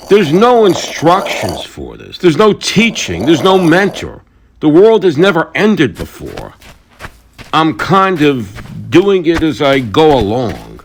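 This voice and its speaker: A man playing a weary, brooding thunder god in a mythic audio drama, confiding and halting in his burdened role as protector. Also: a little high, slight background noise, full character voice. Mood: defiant, earnest.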